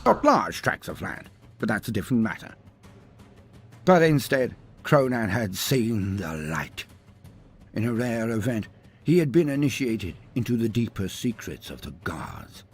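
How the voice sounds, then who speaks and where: A man's voice: on the low side, sing-song, measured pace, breathy edge, soft background music. A man narrating a humorous scripted story in a theatrical, wordy, mock-grandiose manner. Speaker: narrator of a lore story video.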